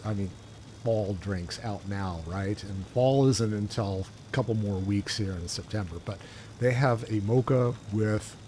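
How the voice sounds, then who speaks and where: Low-pitched man casually streaming a game. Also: darkish tone. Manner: rambling, musing aloud, informal. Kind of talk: casual livestream commentary while playing. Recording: livestream mic with game noise behind.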